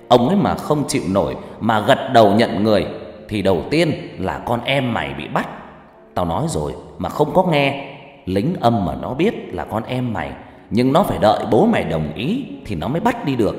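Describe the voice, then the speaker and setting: A man's voice: lowish voice, big pitch swings, normal speed. A man voicing an angry old village sorcerer who scolds and commands bluntly in a dramatized horror story. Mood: angry, scolding. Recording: echoey hall reverb.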